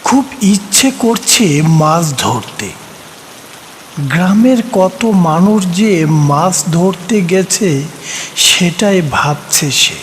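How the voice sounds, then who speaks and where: A man's voice: high voice, animated, extremely slow, a little hiss or hum. A man narrating a scripted story as the storyteller.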